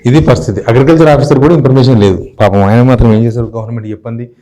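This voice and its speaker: A man's voice: medium pitch, very fast, warm tone. A man with a Telangana Telugu accent giving opinionated news commentary, firing off indignant rhetorical questions.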